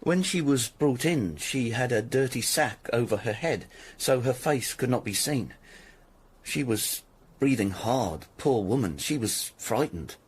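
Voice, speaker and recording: Husky, troubled frightened man. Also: mid-range voice, lively intonation, unhurried. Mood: pitying, subdued. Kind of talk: radio drama acting.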